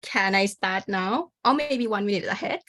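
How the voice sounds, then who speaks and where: Young woman, Thai accent, bright voice, conference talk, loose and chatty with lots of fillers, video call. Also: mid-range voice, normal speed. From Thailand. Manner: informal, many fillers like "like" and "kind of". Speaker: conference presenter. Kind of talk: academic presentation.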